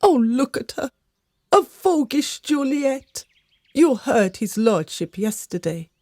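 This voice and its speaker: Maid role: gossipy, melodramatic, fairly high voice, unhurried delivery. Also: female voice, very animated, darkish tone, no echo, clean studio sound, heavily acted. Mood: gossipy, pitying. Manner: melodramatic, hesitant, confiding. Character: fretful, gossiping female servant of a noble house. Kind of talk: radio drama acting.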